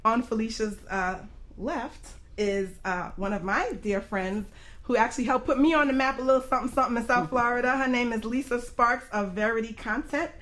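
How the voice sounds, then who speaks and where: Woman, radio host, business coach, somewhat high pitch, casual, chatty, emphatic. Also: fairly quick, fairly bright tone. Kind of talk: casual talk radio hosting.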